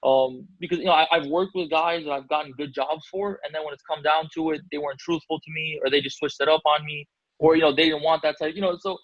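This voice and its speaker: Fast-talking man from Albania. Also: on the high side, steady tone, no echo, highs cut off. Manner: rambling, many fillers and restarts. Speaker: basketball agent. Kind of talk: casual interview answers.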